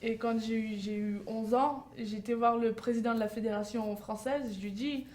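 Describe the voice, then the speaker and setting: A woman's voice: on the high side, fairly even intonation, normal speed, darkish tone. A young woman from France, answering interview questions fluently. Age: young adult. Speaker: guest, softball player.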